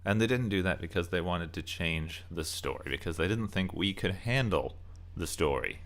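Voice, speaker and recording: A man's voice: deep voice, lively intonation, normal speed. A man giving casual, opinionated commentary as a game reviewer, rambling a little and stressing key words. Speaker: video essayist and game reviewer. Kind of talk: casual loosely scripted commentary.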